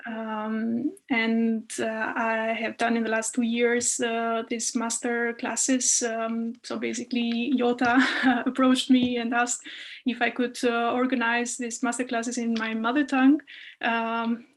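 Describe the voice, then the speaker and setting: A woman originally from Albania, a postdoc explaining and answering questions in an online workshop, with many fillers and pauses. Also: on the high side, monotone. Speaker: postdoc guest instructor.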